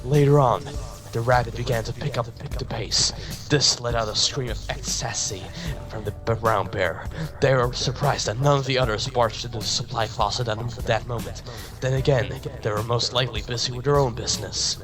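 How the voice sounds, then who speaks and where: Guy doing a dramatic fanfic read, trips over words a bit, medium pitch, music playing loud behind him. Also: moderate pace, hardly any pauses. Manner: stumbles and repeats words while reading. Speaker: reader of fan fiction. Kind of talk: dramatic fan fiction reading.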